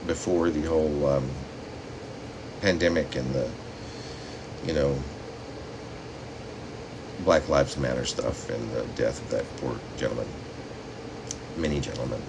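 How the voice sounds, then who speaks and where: Male poet, very deep register, reads in measured dramatic phrases, faint room noise behind him. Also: gravelly. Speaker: poet reading own work. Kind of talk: poetry reading with spoken asides. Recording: steady room noise, air conditioning hum.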